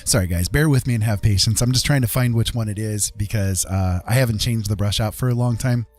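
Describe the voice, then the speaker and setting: A man hosting a casual livestream, rambling informally as he demonstrates digital art, with a husky voice. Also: lowish voice, brisk, darkish tone, soft background music. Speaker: livestream host.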